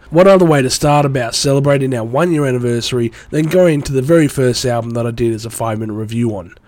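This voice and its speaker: A man's voice: mid-range voice, a little mellow, dry recording. Man with a cold, dry close sound. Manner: enthusiastic, rambling. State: has a cold. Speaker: music review podcaster. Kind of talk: solo podcast commentary.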